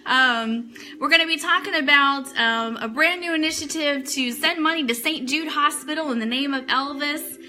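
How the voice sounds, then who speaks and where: Woman, higher pitch, very bright forceful tone, live show host, chatty, casual news delivery. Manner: chatty, addressing viewers and reading their comments. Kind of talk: casual livestream chat with news items.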